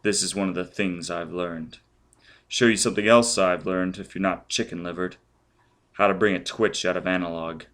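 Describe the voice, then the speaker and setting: A man's voice: low voice, steady tone, normal speed, fairly bright tone. A man voicing a ruthless, vengeful man who barks demands and threats. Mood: cold. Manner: menacing, barking demands. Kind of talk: audiobook character voice.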